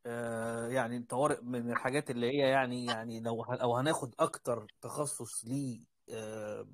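A man's voice: mid-range voice, steady tone, a little fast. A man with an Egyptian Arabic accent giving casual advice on a group voice call, rambling and repeating his words. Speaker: host, doctor advising junior colleagues. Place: online voice call.

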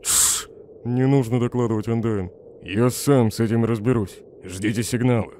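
A man's voice: medium pitch, moderate pace, a little mellow, slight background noise, natural voice. A man voicing a snarky, irritable skeleton scientist in a comic dub, sardonic and dismissive. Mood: tense, annoyed. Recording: faint music behind the voice.

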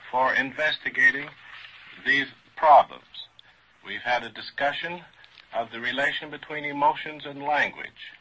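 A man moderating a formal scientific panel in a mid-century radio style, deliberate and courteous. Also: mid-range voice, pushed and bright, slightly rough. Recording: dull vintage radio recording.

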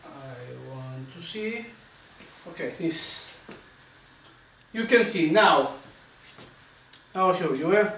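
A man in his thirties hosting a home cooking lesson, halting and searching for English words. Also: a little high, animated, gravelly. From Vietnam. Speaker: cooking channel host. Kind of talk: casual cooking demonstration. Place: home kitchen, indoors. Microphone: camera mic, often at arm's length or across the room. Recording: echoey kitchen with faint noise.

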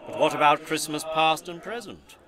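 An actor playing a world-weary Christmas spirit in an audio play, earnest, reproachful and pleading. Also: male voice, fairly high pitch, normal speed, crowd noise, natural voice. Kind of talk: scripted audio play acting.

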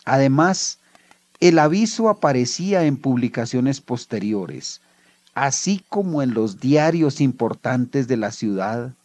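A man's voice: mid-range voice, a little mellow, dry recording. A man with a Colombian accent, a writer reading his own literary short story aloud.

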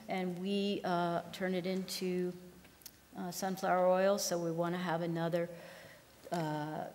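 A woman farm manager giving a conference keynote at a podium, speaking in a conversational way with frequent ums and restarts. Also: on the low side. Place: large lecture hall. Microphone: podium microphone. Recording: long hall reverb.

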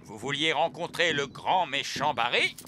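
A man voicing a gruff, bossy, excitable elf boat captain in a cartoon, barking orders and exclaiming dramatically. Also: fairly high pitch, normal speed, background noise, lightly acted. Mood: stern. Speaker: cartoon character actor. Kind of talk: cartoon dubbing. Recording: loud music behind the voice. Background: loud cartoon music.

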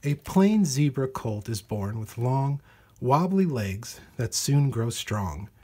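Husky-voiced man reading a storybook. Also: medium pitch, sing-song, takes it slowly, a little mellow. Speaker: storybook reader. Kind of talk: read-aloud children's nonfiction storybook narration.

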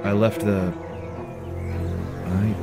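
A man's voice: fairly low pitch, flat delivery, drawn-out words, loud music behind the voice. A man playing a terse, commanding mutant team leader in an audio drama. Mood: stunned.

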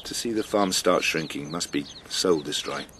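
A man's voice: rumbling low, moderate pace, fairly bright tone, slight background noise. An actor playing a kindly, slightly hesitant male farmer who listens sympathetically, in a naturalistic radio soap. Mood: sympathetic. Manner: hesitant, reassuring.

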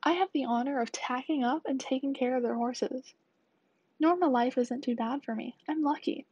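High, soft-husky young woman. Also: moderate pace, dry recording, dull audio. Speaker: student storyteller. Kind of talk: first-person scripted monologue as a 1920 stable groom.

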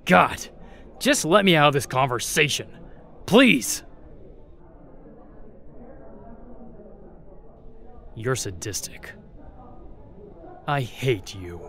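A man's voice: medium pitch, sing-song, fairly bright tone, exaggerated voice. A man playing a jaded, defiant young spy at a royal court in an audio roleplay, sardonic and guarded. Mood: exasperated, resentful. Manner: sardonic, bitter, guarded, with pauses.

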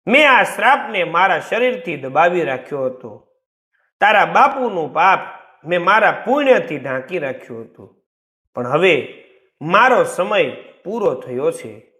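A man voicing a tender, suffering, self-sacrificing village mother in a story, speaking slowly and solemnly. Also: high voice, very animated, pushed and bright, reverberant, exaggerated voice. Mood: weary, loving.

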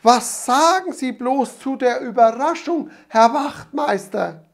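A man voicing a kindly, emotional old grandmother in a high, excited, tremulous voice. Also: slow, gravelly, full character voice. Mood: overjoyed.

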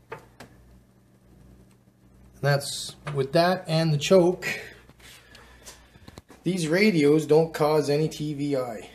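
A man giving a casual, plain-spoken hands-on demonstration as a hobbyist, recorded on a handheld camera. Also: on the high side. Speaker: amateur radio hobbyist presenting a how-to video. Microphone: handheld camera mic, operator behind camera.

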